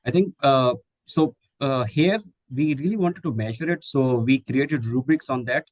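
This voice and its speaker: A man's voice: medium pitch, a little slow, no echo. Middle-aged guy from india, hindi speaker, lots of uhs and restarts, dry close sound. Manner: hesitant, many fillers and restarts. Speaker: guest speaker, English teacher trainer. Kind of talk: online webinar Q&A.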